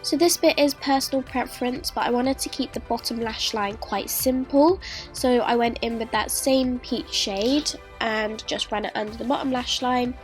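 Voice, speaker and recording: A woman's voice: fairly high pitch, moderate pace. A young woman, stuffed up from a cold, chattily talking through a makeup tutorial step by step. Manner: chatty, friendly, step-by-step explaining. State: congested, says she is sick. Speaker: beauty vlogger. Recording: voice-over with clear music behind.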